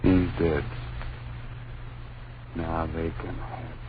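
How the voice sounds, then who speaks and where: An actor playing an earnest, shaken husband and father, speaking in a halting, grave way in an old-time radio horror play. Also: a bass voice, level tone, soft background music, exaggerated voice. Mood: grave, hushed. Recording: tinny, noisy old radio broadcast.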